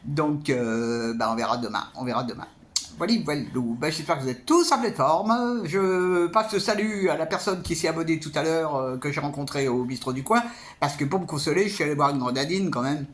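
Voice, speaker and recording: A man's voice: fairly high pitch, a little fast. A man giving a casual spoken film review as a vlogger, hesitant and often repeating words.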